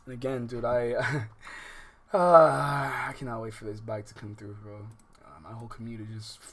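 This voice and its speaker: A man's voice: mid-range voice, fairly even intonation, fairly bright tone. An American man doing casual, slangy livestream commentary on a game, rambling and often interrupting himself. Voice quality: informal slangy speech. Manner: rambling, halting, self-interrupting. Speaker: livestream host. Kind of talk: casual gaming livestream commentary, reading visual novel aloud.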